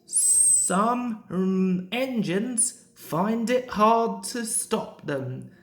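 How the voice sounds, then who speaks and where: A woman's voice: medium pitch, expressive, very slow, pitched above the performer's own voice. A woman voicing a curious, wary old male steam engine from a storybook in a questioning, emphatic manner. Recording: roomy, echoing home recording.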